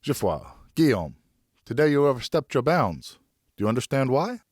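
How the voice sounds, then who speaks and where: Man acting a firm father, animated delivery. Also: medium pitch, slightly rough, no echo, studio quality, voice pushed up. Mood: stern. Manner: stern, measured, explaining. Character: firm but loving father of two boys.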